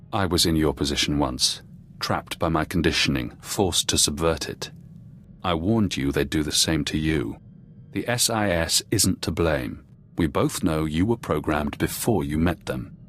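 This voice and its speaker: A man voicing a calm, knowing, menacing former intelligence watcher in a video game cutscene, speaking in measured, cryptic phrases. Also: extremely low pitch, heavily acted. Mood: cold, knowing.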